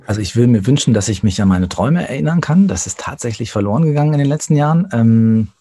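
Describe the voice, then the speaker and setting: A man speaking reflectively as an interview guest, thoughtful and often pausing to search for words. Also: mid-range voice, lively intonation, warm tone. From Germany.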